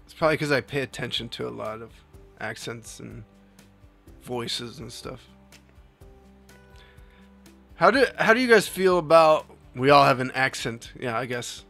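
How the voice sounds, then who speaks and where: A young man streams from home and chats casually with his viewers in a mid-pitched voice. He rambles, laughs often and reads questions from chat aloud. Soft music plays faintly behind him. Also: animated, unhurried, gravelly, long pauses. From New Jersey. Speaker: livestream host.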